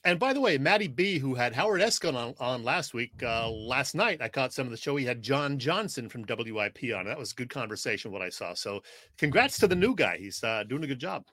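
A man trades casual sports banter as a show co-host. His voice is bright and forceful at a middling pitch, with a tight, dry sound. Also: big pitch swings, brisk, dry recording.

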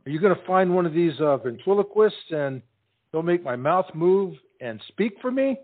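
A man's voice: sits high, sing-song, phone-quality sound. Man playing a bashful, stammering young soldier. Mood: puzzled. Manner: halting, stammering, earnest. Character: bashful, slow-witted lovestruck young soldier. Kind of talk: stage comedy acting.

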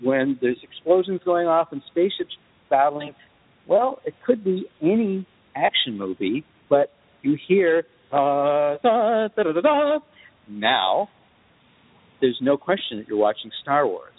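A man's voice: on the high side, big pitch swings, unhurried. A man speaking as an interviewed composer, articulate and explanatory, illustrating his points with examples. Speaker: guest film composer. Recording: dull lo-fi audio with long reverb.

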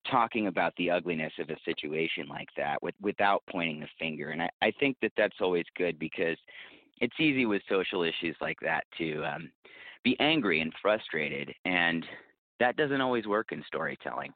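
A man giving a thoughtful, conversational interview as a guest, explaining things in a measured way. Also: low voice, expressive, dry recording. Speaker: podcast creator, interview guest. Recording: tinny phone-like line, dry.

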